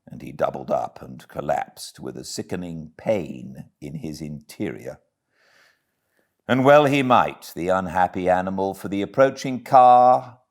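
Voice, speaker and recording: A man's voice: low voice, a little mellow. A man with a British accent reading a classic story aloud as an audiobook narrator, dramatic and theatrical. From Britain.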